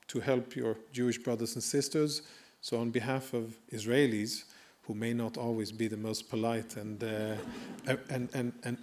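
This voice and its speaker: Earnest man giving a halting speech. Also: medium pitch, a little mellow. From Sweden. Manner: earnest, halting with fillers and restarts. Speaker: guest speaker. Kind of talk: dinner speech. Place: banquet hall.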